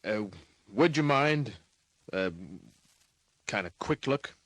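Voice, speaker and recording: An actor playing a wry, hard-boiled private detective in an old-time radio drama, laconic, slangy and cynical. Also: male voice, mid-range voice, animated, slow, fairly bright tone, no room sound. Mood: skeptical.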